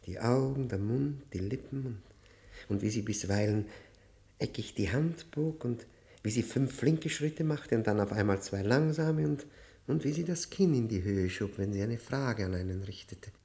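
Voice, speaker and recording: Guilt-racked ex-convict, brooding, halting, emphatic, theatrical radio-play confession, medium pitch. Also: male voice, expressive, gravelly, dark tone. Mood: haunted, intense. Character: tormented, guilt-ridden former convict confessing to a prosecutor.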